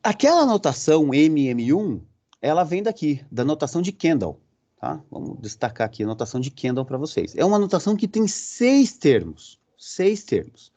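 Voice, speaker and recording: A Brazilian-accented man teaching a university class, and his pitch swings widely as he walks the students through each point. His tone is mellow, and he keeps pausing to check in with a quick tag question. The recording is muffled and lo-fi. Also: sing-song. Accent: Brazilian Portuguese. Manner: explanatory, often checking in with a quick "right?" and filler sounds. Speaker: university lecturer. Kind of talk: recorded online lecture.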